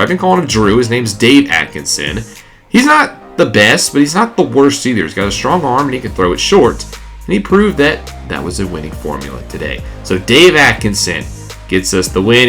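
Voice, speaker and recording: Stuffy man calling game plays. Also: medium pitch. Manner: excitable play-by-play, rising on big plays. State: stuffy, congested. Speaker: gaming YouTuber. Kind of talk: play-by-play commentary over a video game.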